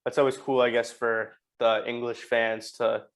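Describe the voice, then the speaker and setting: A young man hosting a casual podcast interview, friendly and quick, often restarting his phrases with "uh.". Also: medium pitch, level tone. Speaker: podcast host.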